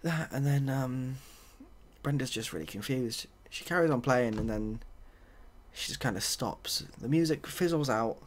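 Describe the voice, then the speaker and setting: A soft-spoken man improvising a story in a hesitant, dry, rambling and self-deprecating way. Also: mid-range voice, measured pace, a little hiss or hum. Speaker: ASMR video host.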